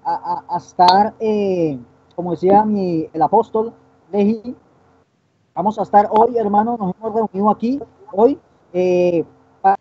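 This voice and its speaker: Soft, husky young man, muffled sound. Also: high-pitched. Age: 20s. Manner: halting, often adds tag questions seeking agreement. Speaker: Bible teacher co-hosting a broadcast. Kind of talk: informal theological discussion. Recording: dull, muffled lo-fi stream.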